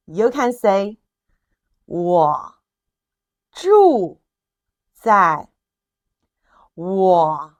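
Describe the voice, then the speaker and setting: A woman language teacher giving an instructional lesson, drilling phrases with deliberate, clearly separated syllables. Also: medium pitch.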